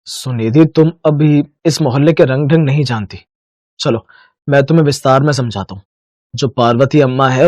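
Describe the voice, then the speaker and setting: A man playing a calm, knowing young husband who explains patiently in a scripted moral story. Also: a little high, no echo, clean studio sound, lightly acted. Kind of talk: scripted cartoon story dialogue.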